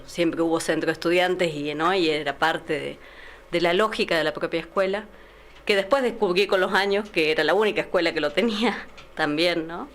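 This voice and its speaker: A woman's voice: deep voice, fairly bright tone. A woman in her forties with an Argentine accent, a city councilor speaking thoughtfully with some hesitation in a radio interview. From San Luis, Argentina. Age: 40s.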